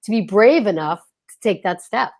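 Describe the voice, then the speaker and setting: A woman's voice: mid-range voice, animated, normal speed, a little mellow. A woman co-hosting a casual interview, talking in an effusive, encouraging way. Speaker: co-host.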